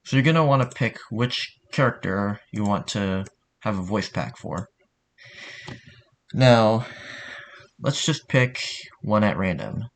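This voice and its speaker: Low-pitched man, casual tutorial narrator. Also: animated, takes it slowly, pauses often. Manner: informal, offhand, mildly sweary.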